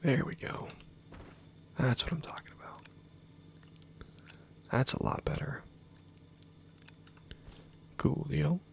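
A man whispering ASMR game commentary close to the mic, breathy and muttering dryly to himself. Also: fairly high pitch, slow pace, pauses often, a little mellow, slight background noise. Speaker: gaming video creator.

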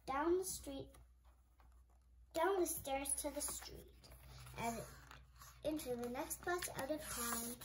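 A child reading a picture book aloud, halting and repeating words, adding little comments along the way. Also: high voice, background noise. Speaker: storybook reader, channel host.

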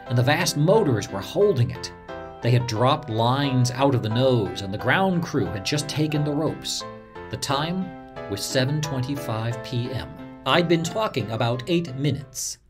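A man's voice: on the high side, lightly acted. A man playing an earnest radio reporter, dramatically recalling a disaster he witnessed, in a first-person story. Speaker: narrator of a radio reporter's memories.

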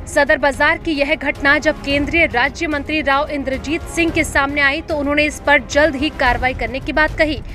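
A woman reading a scripted news report as a voice-over in a formal, measured way. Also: sits high, steady tone, bright, forceful tone, faint music behind.

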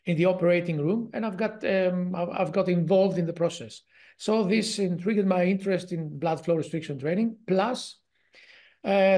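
A man in his forties speaks English with a strong Greek accent, stopping often on fillers and restarting his sentences. He is heard over a video call from a home office, and his voice sits fairly high for a man. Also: darkish tone. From Greece. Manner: hesitant, many fillers and restarts. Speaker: guest researcher. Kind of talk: casual interview talk.

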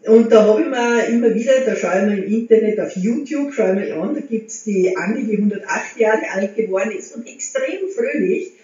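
A woman, chatting to camera at home as a motivational vlogger and gesturing as she talks. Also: on the high side. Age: 60s. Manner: chatty, gestures with hands, warm encouraging tone. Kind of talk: casual talk to camera. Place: living room at home. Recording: very echoey room, slightly dull sound.

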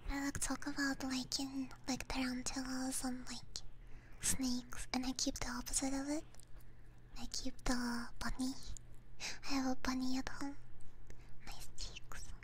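A woman whispering breathily and gently into a close binaural microphone, chatting casually with her stream viewers. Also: high voice, little pitch movement, a little slow. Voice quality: breathy, mostly unvoiced whisper. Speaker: ASMR live streamer. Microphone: close binaural ASMR microphone. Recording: faint background noise, soft clicks.

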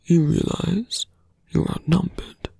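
A man voicing a suave, seductive villain mage in an ASMR roleplay, hushed, deliberate and condescending, with calm menace and a mocking edge, often dropping to a whisper. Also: high-pitched, gravelly, breathy edge, no room sound, exaggerated voice. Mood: smug, taunting.

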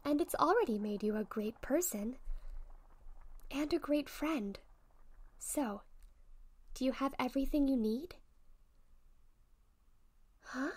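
High-voiced gentle witch girl, acted by a woman, slow-paced. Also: husky, full character voice. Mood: warm, proud. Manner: gentle, encouraging, soothing. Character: sweet, encouraging young witch tutoring her shy friend. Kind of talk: ASMR roleplay. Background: soft potion bubbling and fizzing effects.

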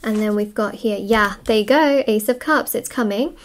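A woman hosts an improvised tarot reading in a medium-pitched voice. She talks conversationally to her viewers, musing over meanings and often repeating a phrase in new words. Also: moderate pace, slight background noise. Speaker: tarot reader and host. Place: indoors at a wooden table.

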